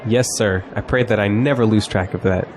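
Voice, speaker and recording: A man's voice: on the low side, steady tone, brisk, some chatter behind, slight background noise, natural voice. A man playing a cocky, exuberant young college graduate who is polite to elders, in an audio drama. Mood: sincere, respectful. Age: young adult.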